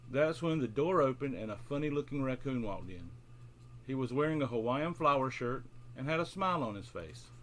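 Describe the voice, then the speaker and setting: A man with a mid-pitched voice speaks to young children as a bedtime storytelling host. He addresses his listeners directly, in simple storybook language. Some noise sits behind the voice. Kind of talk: friendly introduction, then read-aloud children's storytelling.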